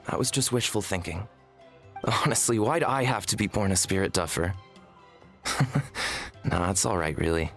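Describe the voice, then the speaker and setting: A man's voice: on the low side, fairly bright tone. A man playing an earnest, self-deprecating and polite young man in a video game. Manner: earnest, hesitant, humble. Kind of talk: video game cutscene voice acting.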